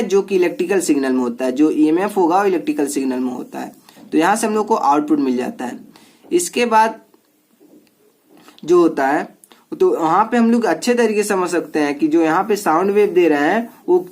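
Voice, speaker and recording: A man giving an informal electronics lecture, explaining step by step and repeating points with frequent check-in tags. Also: sits high. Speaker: electronics teacher.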